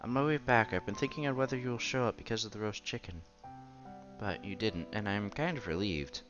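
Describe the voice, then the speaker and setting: A man's voice: on the low side, a little fast, highs cut off. A man reading a visual novel aloud as narrator and lead, now and then stumbling over words and rereading them. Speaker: visual novel reader, channel host. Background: background music.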